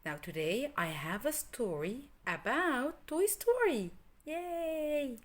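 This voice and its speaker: Woman reading to children, very animated pitch. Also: slow pace. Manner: expressive, addresses young listeners directly. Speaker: storyteller, host of children's story channel. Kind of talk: animated read-aloud for children.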